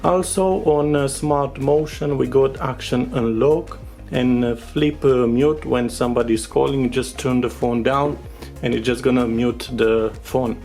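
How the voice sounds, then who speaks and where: Gravelly-voiced man giving a gadget walkthrough. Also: medium pitch, steady tone, a little slow, a little mellow. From Romania. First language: Romanian. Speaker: tech reviewer. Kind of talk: casual unscripted product overview commentary. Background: music with guitar behind the voice.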